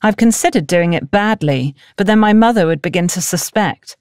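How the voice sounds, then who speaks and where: A woman's voice: lowish voice, lively intonation, normal speed, darkish tone, clean tone, dry recording, clean studio sound, natural voice. A woman voicing a wary, secretive teenage village girl in an audiobook story. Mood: guarded. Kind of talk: audiobook character dialogue.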